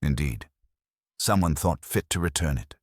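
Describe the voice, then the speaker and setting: A man's voice: extremely low pitch, lively intonation, moderate pace, no room sound, clean studio sound. A man voicing an imperious, irritable detective in an audiobook, with formal, commanding, precise diction. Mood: curt, grave.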